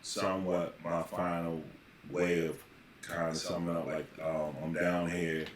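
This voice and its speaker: A man hosting a casual podcast interview, building long questions in halting, repeated phrases. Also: deep voice, little pitch movement, a crowd in the background. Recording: very echoey room, faint background noise.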